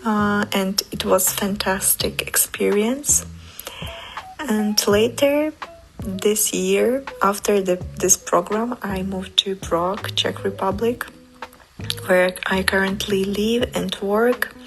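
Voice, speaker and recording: A woman. Her pace is slow and measured, and her voice has a gravelly, fry-tinged edge. Faint music plays behind her. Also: mid-range voice, poor audio. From Ukraine. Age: 20s. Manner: careful non-native English with pauses and restarts. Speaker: interviewee. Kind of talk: interview answers.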